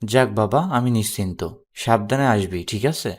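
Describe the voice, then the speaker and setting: A man's voice: lowish voice, expressive, moderate pace. A man playing a worried, scolding middle-aged father in an audio story, questioning urgently and sternly. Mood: relieved. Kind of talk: Bengali audio story acting.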